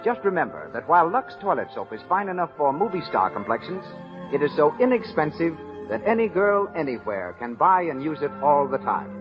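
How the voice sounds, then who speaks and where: A man with a high, swooping American announcer's voice presents a 1930s radio show. His delivery is formal and polished, in the showman's style. The old recording is dull, with music playing clearly behind him. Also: sing-song, brisk.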